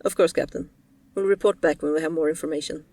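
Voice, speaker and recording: A woman's voice: deep voice, fairly even intonation, fast, warm tone, recorded from a distance, slight background noise. A woman playing a laid-back ghost hunter in an audio roleplay, talking casually and offhandedly to a rookie. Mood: dutiful. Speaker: paranormal investigator character.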